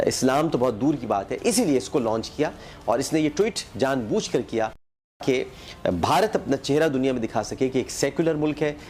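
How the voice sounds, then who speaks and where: A man's voice: mid-range voice, darkish tone. Pakistani man in an accusatory monologue. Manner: rhetorical, accusatory, emphatic. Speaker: talk show host. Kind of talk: polemical TV monologue to camera.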